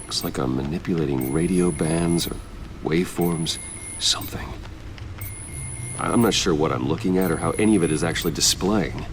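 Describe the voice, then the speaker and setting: A man's voice: very deep voice, normal speed, slight background noise. An actor playing a guarded, serious male vigilante in a video game, terse and dry. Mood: focused. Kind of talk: naturalistic video game acting.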